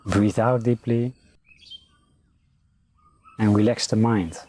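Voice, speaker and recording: A man speaking as a meditation teacher, giving calm, unhurried, gentle guided meditation instructions. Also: lowish voice.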